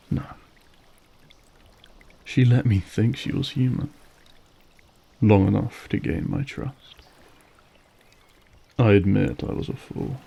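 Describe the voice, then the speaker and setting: Man playing a slow, brooding old vampire. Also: fairly low pitch, vocal fry, mellow, husky, exaggerated voice. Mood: bitter, wounded. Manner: slow, brooding, formal speech. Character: weary, bitter male vampire, centuries old, politely menacing. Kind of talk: dramatic audio roleplay.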